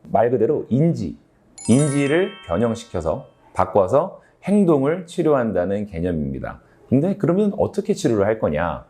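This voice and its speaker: A man's voice: mid-range voice, wildly swooping pitch, moderate pace, mellow, faint music behind. A man speaking as a doctor hosting an explanatory health talk, conversational, often posing questions and answering them himself. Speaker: ENT doctor and sleep-health show host.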